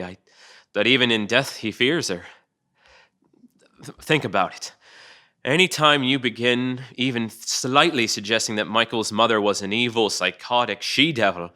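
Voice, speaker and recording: A man's voice: lowish voice, bright, forceful tone. A man playing a weary, scheming, sardonic cop who talks bluntly and persuasively in a dramatic read. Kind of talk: dramatic table read acting.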